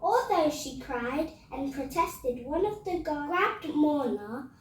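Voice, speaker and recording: A woman hosting a children's storytime, reading a story aloud in a deliberate storytelling manner. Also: high-pitched, animated. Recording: echoey room, distant mic, music behind. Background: music.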